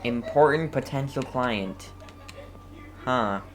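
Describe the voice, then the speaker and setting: A woman's voice: extremely low pitch, takes it slowly, over music. A woman giving casual live commentary on a game, wry and a little hesitant. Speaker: game streamer.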